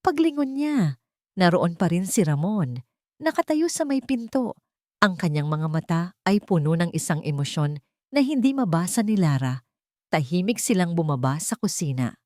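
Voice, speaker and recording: Expressive Filipino woman narrator, swooping pitch. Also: moderate pace, dry recording, studio quality. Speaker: story narrator. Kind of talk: scripted dramatic audio story narration in Tagalog.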